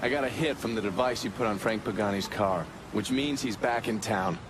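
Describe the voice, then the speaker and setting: An actor playing an easygoing, wry underworld ally with a casual delivery in a video game cutscene. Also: male voice, on the low side, brisk, background noise, lightly acted.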